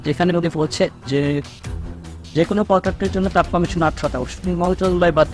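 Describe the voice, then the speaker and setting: A man's voice: high voice, fairly even intonation, quick pace, soft background music. A man putting a question to someone as an interviewer.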